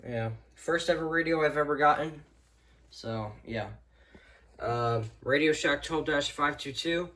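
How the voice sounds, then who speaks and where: Low-key man giving a casual show-and-tell. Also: measured pace, a bit bright. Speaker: vlogger showing a weather radio collection. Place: indoors, rooms at home.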